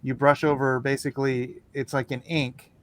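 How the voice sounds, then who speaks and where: A man's voice: medium pitch, little pitch movement, normal speed, slight background noise. A man chatting casually as a podcast co-host. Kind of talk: casual chat.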